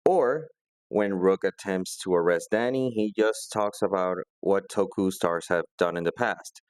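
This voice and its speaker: A man's voice: low voice, measured pace, clean tone, no echo. A man hosting a review video, narrating a scripted video essay. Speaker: host of a comics review video.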